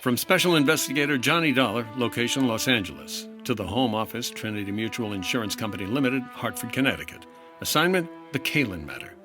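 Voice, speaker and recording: An actor playing a wry, persistent 1950s insurance investigator in the dry, clipped style of a radio detective drama. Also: male voice, medium pitch, expressive, fast talker, over loud music, close to an everyday voice. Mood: dry, businesslike.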